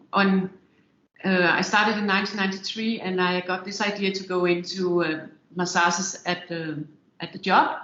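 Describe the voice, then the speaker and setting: A woman's voice: on the low side, a bit bright. A woman from Denmark, a Danish native and co-author, speaking hesitantly with many fillers. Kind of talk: personal introduction in an interview. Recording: echoey, muffled call with faint noise.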